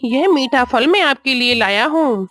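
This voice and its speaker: A sly male crow from a children's tale, put on by a woman narrator. The voice sits high, smooth-talking and coaxing with a scheming edge. Music runs underneath. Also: animated, unhurried, a bit bright. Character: sly, flattering male crow luring with sweet promises.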